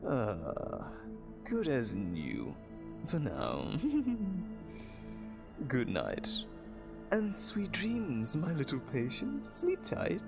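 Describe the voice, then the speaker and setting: An actor playing a sadistic, gleeful evil doctor in an audio drama, condescending and mock-tender, with theatrical, drawn-out phrasing. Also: male voice, mid-range voice, wildly swooping pitch, creaky, over music, exaggerated voice. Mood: silky menace.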